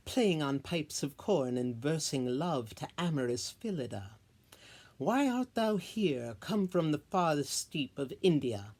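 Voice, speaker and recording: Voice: mid-range voice, darkish tone. A solo reader voicing a proud, scornful fairy queen with haughty, declamatory Shakespearean delivery. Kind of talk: solo Shakespeare verse reading.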